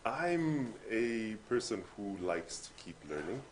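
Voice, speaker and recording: A Zambian man in his forties, a guest on a TV breakfast show, talking in a measured way, laughing often and repeating a word as he starts a sentence. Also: lowish voice, sing-song, a little hiss or hum. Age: 40s. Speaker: guest, voiceover artist and MC. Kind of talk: casual interview chat. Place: TV studio.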